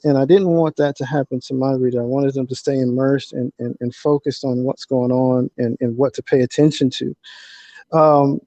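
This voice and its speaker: A man's voice: fairly high pitch, mellow, no room sound. A man answering as an author guest on a podcast, explaining conversationally and repeating words as he builds each point. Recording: slightly dull, lo-fi audio.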